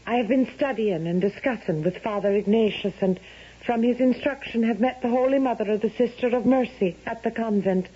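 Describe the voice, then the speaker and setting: A woman's voice: a little high, brisk, warm tone, slightly rough. An actress playing a resolute, bitter young noblewoman, a wronged daughter, formal and composed in a 1970s radio drama. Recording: dull vintage radio recording.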